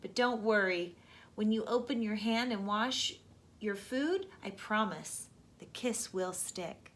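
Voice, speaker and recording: A woman voicing a loving, reassuring mother raccoon in a children's storybook, speaking gently and soothingly. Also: medium pitch, slow pace, lightly acted. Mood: tender. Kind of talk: children's storybook read-aloud.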